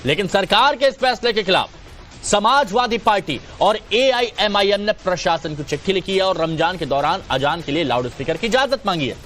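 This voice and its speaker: A man's voice: sits high, animated, moderate pace, fairly bright tone, slight background noise. A man presenting scripted news as an anchor, introducing a debate.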